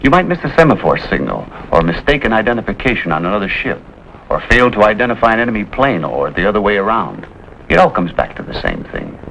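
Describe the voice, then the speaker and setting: A man's voice: on the low side, big pitch swings, fast talker, gravelly, fairly bright tone, muffled recording, exaggerated voice. An actor playing a firm, fatherly middle-aged American Navy officer, explaining with authority in 1950s television drama style. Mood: stern, instructive. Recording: faintly noisy 1950s television soundtrack.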